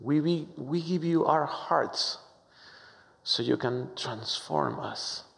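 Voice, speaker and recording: A man from Argentina with a strong Argentine Spanish accent, preaching a sermon with warm, humorous, halting storytelling full of fillers. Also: a little high, vocal fry. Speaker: pastor. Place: church sanctuary. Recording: long hall reverb.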